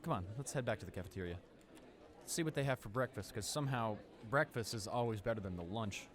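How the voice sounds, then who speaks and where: A young man playing a friendly, easily flustered high school senior in a roleplay audio drama, with an awkward, rambling, hesitant way of talking. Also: expressive, fast talker, crowd noise, natural voice. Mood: eager, friendly. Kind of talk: roleplay audio drama, casual conversational acting.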